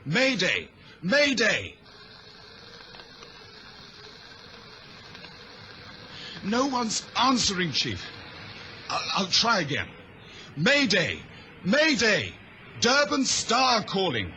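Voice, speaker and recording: An actor playing a wry, anxious ship's radio operator in a radio drama, on a noisy vintage recording. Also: male voice, high-pitched, huge pitch swings, slow, sharp tone, full character voice. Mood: urgent calling.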